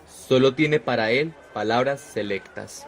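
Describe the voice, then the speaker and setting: An actor playing a devout young disciple in a radio drama, speaking fervently and sincerely. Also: male voice, medium pitch, unhurried, natural voice.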